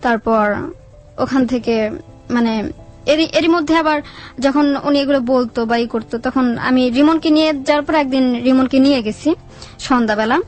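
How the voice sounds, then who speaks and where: A woman with a Bangladeshi accent tells a personal story in a radio interview, haltingly, repeating words and quoting what people said. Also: high voice, darkish tone. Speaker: guest telling own life story. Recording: music under the voice. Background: background music.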